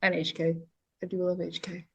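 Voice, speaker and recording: A woman's voice: on the low side, flat delivery, moderate pace, vocal fry, a bit bright, breathy edge. A woman voice actor chatting casually as a podcast guest in a playful, informal way. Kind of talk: casual chat.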